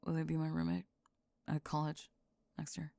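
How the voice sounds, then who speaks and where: A woman acting a guarded young goth in an intimate audio roleplay, speaking in a halting, dry, understated way with tenderness underneath. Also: sits low, monotone, creaky, mellow, no room sound. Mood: nervous, earnest.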